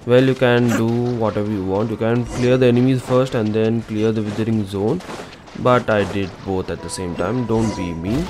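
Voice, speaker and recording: A man's voice: fairly low pitch, fairly even intonation, moderate pace. A man narrating a game walkthrough as an informal, instructional gaming channel host. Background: game music.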